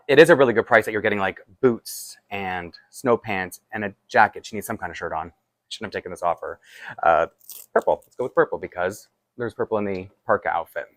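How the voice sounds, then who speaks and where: A man's voice: on the low side, a bit bright, dry recording. A man with a Toronto accent chatting casually and self-deprecatingly while unboxing and dressing a doll, with rambling asides. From Toronto, Canada. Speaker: doll collector vlogger.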